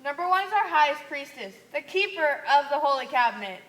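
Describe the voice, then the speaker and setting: Woman as sharp, high-voiced skeptical cultist. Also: animated, fairly quick, poor audio. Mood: solemn, puzzled. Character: skeptical, stern blue cult member. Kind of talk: amateur one-act stage play acting.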